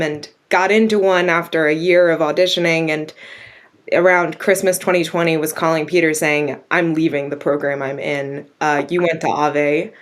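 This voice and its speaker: A young woman from Virginia chats as a guest writer, her voice sitting a little low for a woman. She tells stories briskly and acts out other people's lines as she goes. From Virginia, USA. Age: young adult. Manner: animated storytelling with acted quotes. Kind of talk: casual interview chat.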